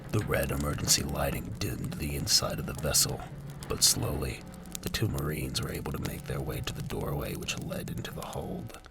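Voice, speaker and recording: A man's voice: rumbling low, expressive, moderate pace, vocal fry, over loud music. A man reading scripted, dramatic narration for an audio drama.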